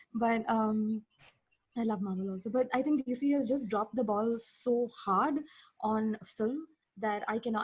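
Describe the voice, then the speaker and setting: A woman podcast guest talking casually and emphatically about her opinions, with a husky voice. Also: medium pitch, poor audio. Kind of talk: casual opinionated pop-culture chat.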